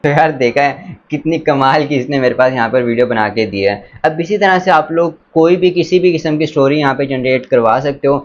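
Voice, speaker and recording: A man's voice: mid-range voice, few pauses. Lo-fi male tutorial presenter. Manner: instructional, enthusiastic, mixes in English words. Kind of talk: casual step-by-step screen tutorial. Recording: dull lo-fi sound, faint background noise.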